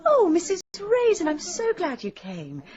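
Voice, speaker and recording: An actress as a genteel, lowish-voiced church lady. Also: lively intonation, normal speed, darkish tone, muffled recording, some chatter behind, close to an everyday voice. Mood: welcoming. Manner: genteel, polite, diplomatic. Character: gentle, gracious, tactful village church lady. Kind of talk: radio drama acting.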